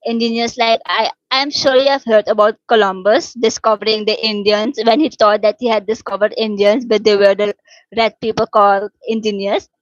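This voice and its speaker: A woman in her twenties from Suriname, whose first language is Dutch, chatting casually with viewers, unhurried and with many fillers and restarts. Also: mid-range voice, steady tone, dry recording, muffled recording. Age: 20s. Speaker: livestream host answering viewer questions. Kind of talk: casual chat.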